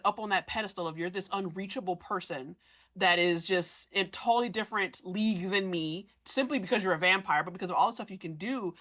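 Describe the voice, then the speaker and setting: A woman's voice: medium pitch, a bit bright. A woman in a casual discussion of a story, explaining at length in slangy, rambling speech and voicing characters' thoughts.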